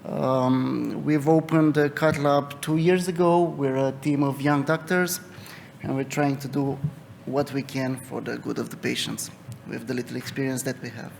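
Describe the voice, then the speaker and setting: A young man from Romania with a Romanian accent, a cardiologist presenting a case at a medical conference in a halting, hesitant way. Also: medium pitch, fairly even intonation, vocal fry, a little mellow. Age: young adult. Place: conference hall. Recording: reverberant hall, noticeable background noise.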